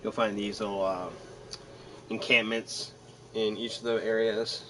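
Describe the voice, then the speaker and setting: A man's voice: on the low side, level tone, a little slow, sharp tone, poor audio. A man giving casual gameplay commentary, rambling and joking as he thinks aloud. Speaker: gamer commenting on a playthrough.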